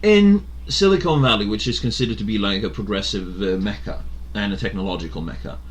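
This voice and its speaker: A man's voice: lowish voice, animated, fairly quick. A young man from Sweden hosting a casual podcast chat, talking bluntly, swearing freely, and hammering his points home.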